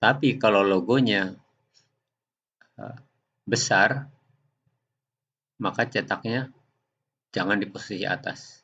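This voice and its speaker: Deep-voiced man, husky, dull recording. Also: bright, forceful tone. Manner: halting, step-by-step explaining. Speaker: software tutorial presenter. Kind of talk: unscripted screen demonstration narration.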